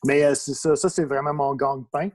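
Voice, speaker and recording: Easygoing Québécois man, mellow voice. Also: mid-range voice, a little fast. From Quebec. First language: English. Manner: relaxed, many fillers and restarts. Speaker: guest, toy photographer. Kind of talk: casual conversation.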